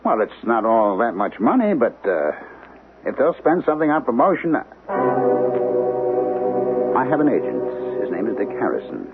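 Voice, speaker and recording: A weary forty-something writer on old radio. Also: male voice, medium pitch, wildly swooping pitch, normal speed, long pauses, music in the background. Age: 40s. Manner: wry, musing, drifting into gloom. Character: weary 42-year-old male writer, brooding. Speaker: narrator and lead. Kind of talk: 1970s radio drama acting. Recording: tinny lo-fi old radio broadcast with faint hiss.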